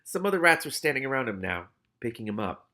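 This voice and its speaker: A man reading a story aloud as host, excitable and expressive, with casual, sweary reactions of his own. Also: medium pitch, quick pace. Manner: excitable, animated.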